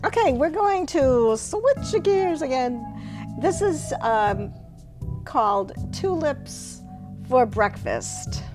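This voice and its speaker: A woman hosting a poetry program, reading verse expressively and deliberately, and chatting casually between pieces. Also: high voice, sing-song, over music. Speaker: host and poet.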